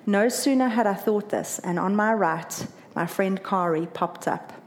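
Woman, South African accent, heartfelt personal storytelling, mid pitch, earnest and emotional delivery. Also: a little slow, a little hiss or hum. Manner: earnest, emotional, lively when quoting others. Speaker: marathon runner telling her story.

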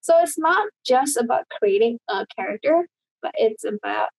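A woman's voice: fairly high pitch, lively intonation, normal speed, vocal fry, slightly rough, no room sound, rough recording. A woman from Indonesia, a guest talking casually over a video call, rambling with many fillers and restarts.

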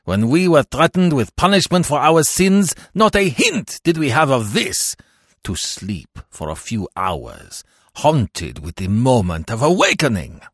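A man voicing the ghost of an old priest, lamenting in a husky, quavering voice that swells to anguished cries. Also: medium pitch, sing-song, extremely slow, few pauses, bright, forceful tone, no echo, studio quality, voice pushed up, exaggerated voice. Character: aged dead village priest's ghost, wailing in torment. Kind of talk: audiobook reading.

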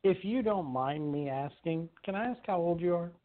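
A man's voice: a little high, expressive, moderate pace. A man in his thirties in a casual talk show chat, asking questions politely and curiously. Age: 30s. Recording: tinny phone-like line.

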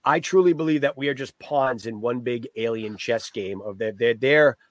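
A man's voice: mid-range voice, normal speed, no room sound. A man co-hosting a book podcast in casual chat, a bit stuffy from a cold, rambling and wry. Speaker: podcast co-host.

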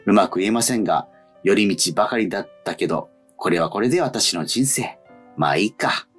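A low-voiced male radio host who moves between reading listener mail and casual chat. His intonation swings widely, and his voice has a husky edge, with light music behind it. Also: expressive, brisk.

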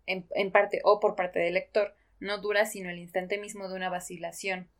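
Female podcast co-host, mid-pitched, explanatory and careful in word choice, casual discussion style. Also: flat delivery, moderate pace.

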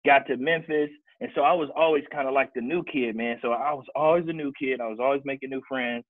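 A man's voice: mid-range voice, fairly even intonation, fast talker, no echo. A man from Kansas City, Missouri, casually telling his life story as a guest, with many restarts. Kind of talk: casual podcast chat. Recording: dry, tinny phone-like sound.

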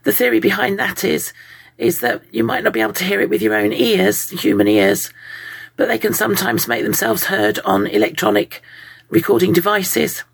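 A woman, croaky from a summer cold, calmly explaining a topic to podcast listeners. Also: mid-range voice, normal speed. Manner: steady, explains terms plainly, apologetic about her voice. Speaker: podcast co-host. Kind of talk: casual explanatory talk.

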